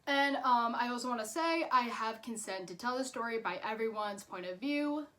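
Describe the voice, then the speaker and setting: A woman's voice: fairly high pitch, normal speed, sharp tone. A woman in her twenties telling a personal story casually, in a chatty, informal way with dramatic emphasis. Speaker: YouTube storyteller.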